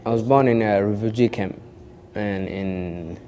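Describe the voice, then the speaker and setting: A man's voice: on the low side, unhurried, mellow, big-room sound, slight background noise. A young man born in Kenya of a Somali family, telling his story in an interview with lots of restarts and "you know". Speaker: actor interviewed.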